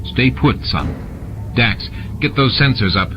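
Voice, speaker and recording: Stern male space-station commander, acted by a male narrator, terse orders, medium pitch, music underneath. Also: animated, slow, highs cut off. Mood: firm.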